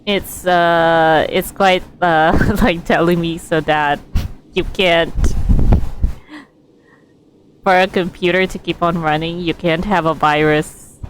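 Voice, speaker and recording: A woman streaming a game with casual, halting commentary, giggly and thinking aloud. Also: fairly low pitch, steady tone, a little hiss or hum, rough recording.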